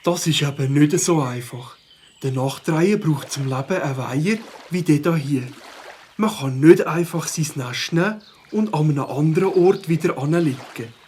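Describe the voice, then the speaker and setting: An earnest, worried bird lover with a Swiss accent and a fairly high male voice, played by a male actor. Clear outdoor ambience lies behind this children's audio drama part. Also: normal speed, darkish tone, slight background noise, natural voice.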